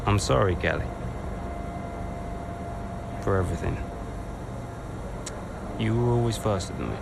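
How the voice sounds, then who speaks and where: A man's voice: on the low side, a little slow, gravelly, heavy background noise. An actor playing a glib, sarcastic young man who speaks in a mocking tone to his sister. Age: young adult. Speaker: racing team member. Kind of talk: video game cutscene acting. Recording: game cutscene with vehicle ambience.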